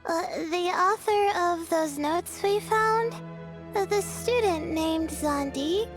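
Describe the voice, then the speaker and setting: A woman's voice: very high voice, takes it slowly, over music. An actress playing a timid, polite young student girl in a video game, with a hesitant, questioning manner. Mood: curious, hesitant.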